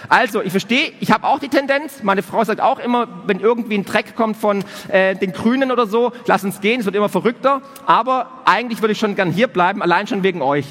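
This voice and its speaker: A man's voice: high voice, fast, few pauses, fairly bright tone. A man hosting an event, giving a blunt, sarcastic, comedic talk to an audience. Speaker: event host and speaker. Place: large hall, on stage. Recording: long hall reverb.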